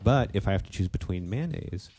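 A man co-hosting a casual chat, talking in halting, rambling sentences full of restarts. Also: sits low, expressive, mellow, slight background noise. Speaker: co-host. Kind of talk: casual chat among friends.

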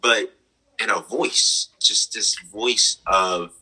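A young man in his twenties with a very deep, bright and husky voice. He tells his story earnestly, pausing on fillers and laughing softly. He talks over a remote call. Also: poor audio. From United States. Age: 20s. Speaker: guest. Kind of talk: conversational interview, personal story.

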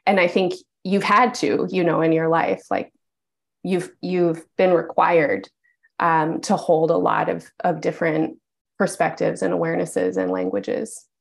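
A woman with a lowish voice reads a friend's birth chart in a loose, conversational way. She meanders through her points with plenty of "you know" and "like," sounding affirming as she goes. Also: level tone, unhurried, gravelly. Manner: meandering, warm affirming with many fillers. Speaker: astrologer. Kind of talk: casual astrology reading.

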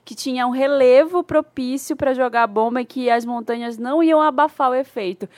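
A woman's voice: high voice, a little fast, darkish tone. A Brazilian woman co-hosting a podcast, telling a story in casual chat and often restarting her sentences. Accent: Brazilian Portuguese. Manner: chatty storyteller, trails off and restarts. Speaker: podcast co-host.

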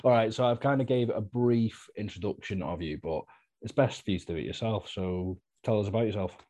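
Man, podcast host, low mellow voice, relaxed chatty manner. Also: fairly quick. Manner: relaxed, chatty, frequent fillers and laughs. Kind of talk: casual interview chat.

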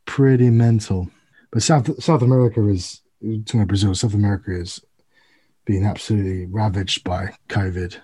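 Casual: guy, warm slightly husky voice, chatting earnestly and hedging a bit. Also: fairly low pitch, steady tone, normal speed. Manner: earnest, hedging, explains with examples. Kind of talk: casual discussion.

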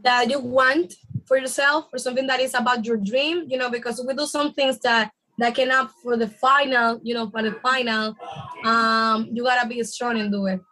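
A woman with a Dominican accent whose first language is Spanish. She speaks in a very high voice. She talks like a guest on a casual podcast, chatty and laughing often. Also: fairly even intonation, moderate pace, pushed and bright, rough recording. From Dominican Republic.

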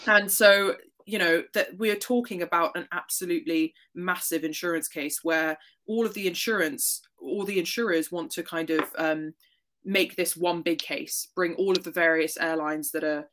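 A woman guest on a podcast, explaining a news story in a relaxed way with frequent ums. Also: fairly low pitch, normal speed. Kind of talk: casual explanatory chat.

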